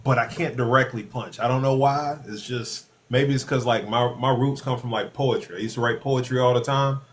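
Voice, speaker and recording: A man's voice: mid-range voice, steady tone, normal speed, a little hiss or hum. A man in his thirties co-hosting a casual podcast chat, talking in a laid-back, self-reflective way. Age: 30s.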